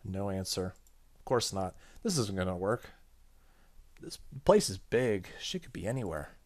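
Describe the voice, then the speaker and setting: Low-voiced man doing let's play commentary. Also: sing-song, measured pace, a little mellow. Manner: casual, joking asides. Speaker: gaming video host. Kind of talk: let's play commentary, reading game narration aloud.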